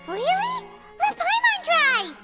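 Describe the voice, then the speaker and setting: A woman voicing a tiny, childlike, chatty companion in a video game, squeaky, cheeky and curious, speaking excitably. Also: slow, exaggerated voice. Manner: speaks of self in third person, excitable. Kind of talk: scripted video game dialogue. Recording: long hall reverb, loud music underneath.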